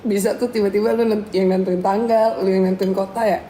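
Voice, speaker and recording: Young woman, laid-back co-host, soft dark tone, faint hiss in the room. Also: mid-range voice, fairly quick. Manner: relaxed, agreeable, slangy. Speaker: live stream co-host. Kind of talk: casual chat while eating. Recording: echoey room with faint noise. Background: clinking cutlery and dishes.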